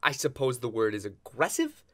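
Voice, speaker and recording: Theatrical, exasperated haunted mansion owner. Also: male voice, medium pitch, very animated, moderate pace, no echo, studio quality. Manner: dramatic, rambling complaints. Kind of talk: audio comedy sketch acting.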